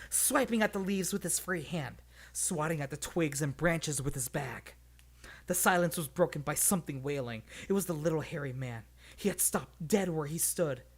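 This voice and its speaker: A streamer reading a fantasy story aloud expressively, with casual, unpolished delivery. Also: medium pitch. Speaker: read-along streamer.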